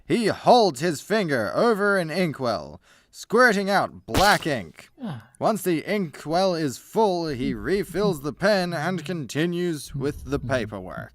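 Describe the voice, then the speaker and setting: Casting: male storyteller for scripted audio drama, slow and expressive, over music. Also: fairly high pitch. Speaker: narrator. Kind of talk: scripted radio play narration. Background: music behind the voice.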